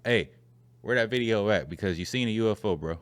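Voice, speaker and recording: A man's voice: lowish voice, expressive, fairly quick, clean tone, no echo. A man hosting a reaction video, talking casually in a slangy, easygoing way as he comments on clips. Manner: laid-back, conversational, slangy, muses aloud.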